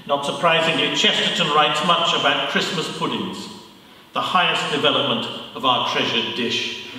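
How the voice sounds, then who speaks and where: An English man delivers a measured, dryly witty lecture in a bright, forceful voice pitched a little high. He is picked up from a distance in a big, echoing hall with long reverb. A faint hiss sits underneath. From England. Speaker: guest speaker. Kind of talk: prepared lecture.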